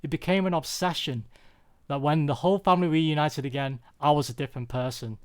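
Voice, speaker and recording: A man's voice: fairly high pitch, fairly even intonation, smooth. Earnest British man giving a personal talk. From UK, born there. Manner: earnest, confessional, deliberate phrasing. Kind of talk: prepared personal talk, storytelling.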